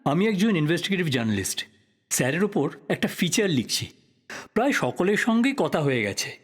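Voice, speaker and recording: A man's voice: a little high, big pitch swings, a little fast. A man playing a polite but persistent investigative journalist in an audio drama, asking courteous questions conversationally. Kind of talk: Bengali audio drama acting.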